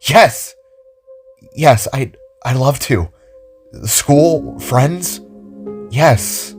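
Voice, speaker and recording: A man voicing a shy, tearful teenage robot boy who stammers haltingly, in an audio drama. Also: medium pitch, soft background music, pitched above the performer's own voice. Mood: eager.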